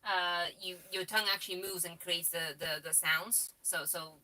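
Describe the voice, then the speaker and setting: A woman's voice: on the low side, fairly even intonation, normal speed, bright, forceful tone, distant mic, poor audio. A young woman from Bulgaria with a Bulgarian accent, chatting on a live stream with many restarts and laughs. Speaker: co-host. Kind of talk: casual live stream chat.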